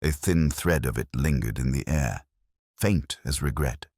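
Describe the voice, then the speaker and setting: A man reading first-person mystery narration in a measured, brooding, literary manner. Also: rumbling low, a little mellow, no echo, studio quality.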